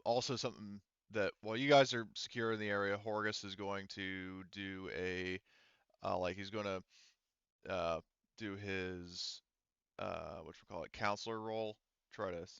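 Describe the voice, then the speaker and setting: A man with a lowish, forceful and clear voice runs a tabletop game. He explains the scene casually, hesitating as he searches for words, on a close but slightly dull recording. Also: steady tone, moderate pace, no room sound. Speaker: game master.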